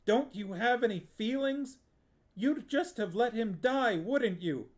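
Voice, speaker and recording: A man reading an audiobook, voicing a young man who is furious and hurt and shouts his anger. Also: sits high, big pitch swings, measured pace, voice pushed up.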